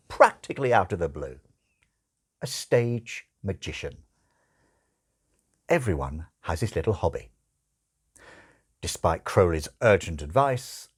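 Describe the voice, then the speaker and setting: A man reading a literary audiobook as narrator, expressive, with wry, deliberate phrasing. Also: on the low side.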